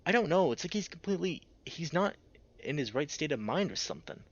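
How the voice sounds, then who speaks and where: A man's voice: a little high, big pitch swings, a little fast, lo-fi sound, pitched above the performer's own voice. A man voicing a big anxious bear, a caring boyfriend, with a pleading, strained manner in a visual novel. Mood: shaken.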